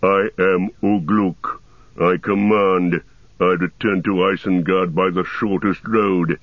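A man voicing a huge, domineering orc commander in a deep growl, blunt and boastful, in a storybook reading. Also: fairly even intonation, slow pace. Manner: blunt, commanding, emphatic declarations. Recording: roomy, dull lo-fi sound.

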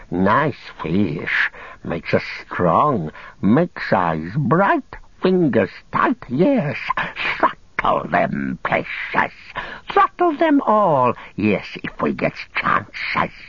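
A man voicing a wretched, wheedling, spiteful creature in a strained hiss, muttering in drawn-out broken phrases as in a storybook reading. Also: lowish voice, huge pitch swings, creaky, sharp tone, husky, dry recording, dull audio, full character voice. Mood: gloating. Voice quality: hissing, strained, whispery at times. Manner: drawn-out muttering, talks of itself in broken grammar.

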